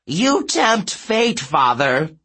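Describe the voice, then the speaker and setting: Man putting on a reedy, high-pitched defiant giantess. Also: very animated, very slow, pushed and bright, husky, dry recording, heavily acted. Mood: defiant warning. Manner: pleading, insistent. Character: burly young giantess, defiant, worried daughter of a king.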